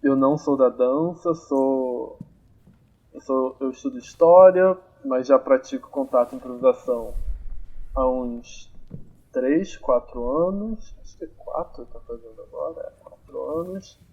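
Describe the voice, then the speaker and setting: A young man hosts a casual podcast at an easy, unhurried pace, his voice at a middling pitch. He thinks aloud as he talks, with frequent fillers and restarts. The home recording sounds slightly dull and lo-fi. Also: warm tone, slightly rough. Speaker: podcast host. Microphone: amateur home recording, phone or computer.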